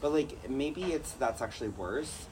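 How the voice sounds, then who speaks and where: A man's voice: medium pitch, expressive, unhurried, a little mellow, slight background noise, rough recording. A man co-hosting a podcast in casual chat, rambling and jokey with lots of fillers. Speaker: podcast co-host.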